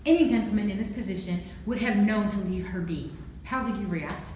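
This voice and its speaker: A woman's voice: mid-range voice, a little mellow, natural voice. Woman acting as stern prosecutor in echoey room. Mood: accusing. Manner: formal, measured, accusatory questioning. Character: stern, formal female courtroom prosecutor. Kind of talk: amateur student film acting. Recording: very echoey room, distant mic, faint noise.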